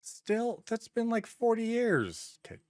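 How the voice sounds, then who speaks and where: Animated man podcaster, dry close sound. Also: extremely high pitch, unhurried, no room sound. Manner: animated, acts out imagined quotes. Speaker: podcast host. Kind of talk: casual podcast discussion.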